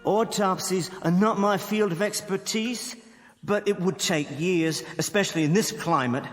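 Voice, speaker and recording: An actor playing a precise, self-assured scientist and criminal mastermind in a radio drama, with formal, clipped diction. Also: male voice, sits high, measured pace. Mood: coolly vindicated. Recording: hall-like reverb with faint music.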